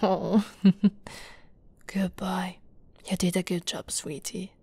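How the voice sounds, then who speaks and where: A woman playing an affectionate, teasing lamia girlfriend in an ASMR roleplay, soft and cooing, often slipping into whispers. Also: fairly low pitch, level tone, extremely slow, gravelly, husky, no room sound, exaggerated voice. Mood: doting, teasing.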